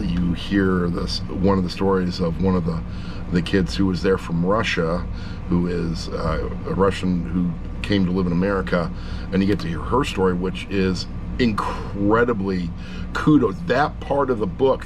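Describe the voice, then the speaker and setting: A man's voice: low-pitched, background noise. A middle-aged man giving a casual, rambling review, with blunt, jokey asides. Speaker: audiobook reviewer.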